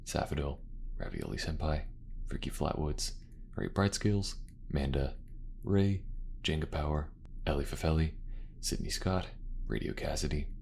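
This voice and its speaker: A man's voice: rumbling low, normal speed, gravelly, darkish tone. A man who is a voice actor and channel host, speaking casually in an outro to his listeners.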